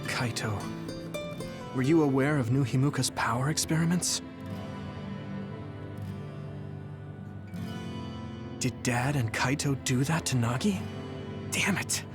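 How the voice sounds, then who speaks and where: An actor voicing an earnest, troubled young platoon hero in an English-dubbed anime game, speaking plainly. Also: male voice, mid-range voice, normal speed, natural voice. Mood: anguished. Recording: game music behind the voice.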